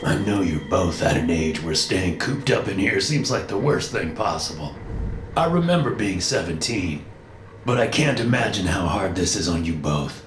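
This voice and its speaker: A man's voice: low voice, expressive, moderate pace, echoey room, background noise. A man voicing a frightened, tearful middle-aged father, raw and strained, in an audiobook. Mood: stern.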